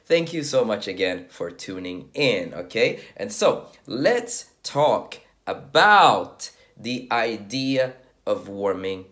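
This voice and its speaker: A man in his early thirties talks straight to his viewers as a dating coach, casual and direct. His pitch rises and falls freely, and he punctuates points with a quick "okay?". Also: a bit bright. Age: 30s. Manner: repeats "okay?" as a check-in, conversational and direct. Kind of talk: casual talk to camera.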